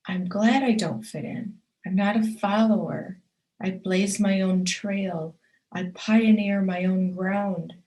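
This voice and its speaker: A woman's voice: mid-range voice, darkish tone, poor audio. A woman hosting her own show and giving a motivational talk. She speaks earnestly and emphatically, stacking short repeated affirmations and addressing the listener directly.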